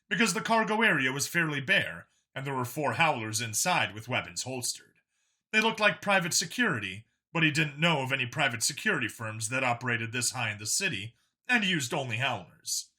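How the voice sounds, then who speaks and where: A man's voice: medium pitch, sharp tone. A man reading a science fiction story as a dramatic scripted narration. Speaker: audiobook narrator.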